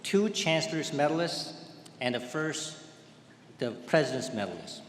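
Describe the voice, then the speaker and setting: A man presents honors at a commencement, his delivery measured and ceremonial. His voice sits a little high and carries a long reverb tail through a large hall. Faint ambient noise lingers under it. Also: sing-song. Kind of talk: formal commencement speech. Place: large indoor ceremony venue.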